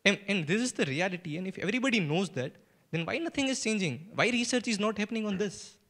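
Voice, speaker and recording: Male forum participant, high voice, insistent and repetitive, challenging off the cuff. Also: animated, fast, a bit bright. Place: lecture hall.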